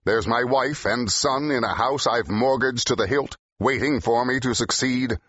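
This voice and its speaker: Driven film director, gruff growl, forceful pitchman delivery, animated intonation, dry slightly muffled studio sound. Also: no echo. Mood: indignant, ranting. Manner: forceful, emphatic, fast-tongued pitchman. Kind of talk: full-cast audiobook acting, period 1930s dialogue.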